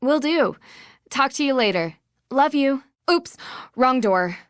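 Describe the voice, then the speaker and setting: A woman playing a meticulous, inquisitive young amateur detective in a video game, speaking in a measured, articulate way. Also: sits high, animated, clean tone, dry recording, clean studio sound. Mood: musing, friendly. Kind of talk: scripted video game voice acting.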